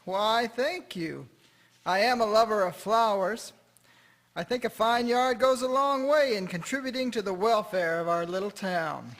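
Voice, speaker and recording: A man's voice: high-pitched, unhurried, a bit bright. A man playing a cheerful, courteous small-town machinery dealer in a period radio drama, genial and old-fashioned in manner.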